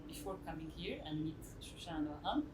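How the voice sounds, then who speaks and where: A woman's voice: sits low, animated, fairly quick. A woman from Turkey who speaks natively, earnestly searching for words as a band member in an interview. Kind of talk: casual interview answers. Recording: distant roomy mic with loud noise.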